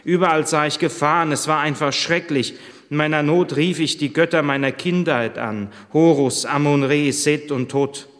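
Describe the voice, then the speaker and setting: A man's voice: a little high, fairly even intonation, moderate pace, a bit bright, big-room sound, close to an everyday voice. A man voicing a desperate pregnant servant woman. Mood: despairing. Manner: heartfelt first-person storytelling.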